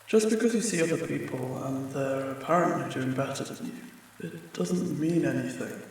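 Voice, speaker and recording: A man playing a friendly, apologetic sleep paralysis demon in an ASMR comfort roleplay, speaking gently and haltingly, with reassurance. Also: mid-range voice, vocal fry, a little hiss or hum, natural voice. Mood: reassuring, supportive. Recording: very echoey, distant, rain sounds behind. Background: rain.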